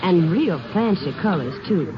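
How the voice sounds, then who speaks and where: An actor playing a chatty, eager small-town laundry worker in a 1950s radio drama, rambling in folksy, rustic talk. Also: male voice, high-pitched, animated, unhurried, a crowd in the background. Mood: chatty, apologetic. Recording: muffled old radio, background bustle.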